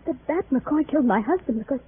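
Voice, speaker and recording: A noisy, thin old radio recording holds a wounded widow with a high voice. She is proud and bitter, delivering halting melodrama with great earnestness. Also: expressive. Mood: shaken hurt. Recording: noisy vintage radio, narrow phone-like band.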